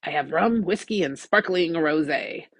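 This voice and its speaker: A woman voicing a gruff, suspicious old woman from a science fiction audiobook, blunt and grudging. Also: low-pitched, measured pace, dry recording, exaggerated voice.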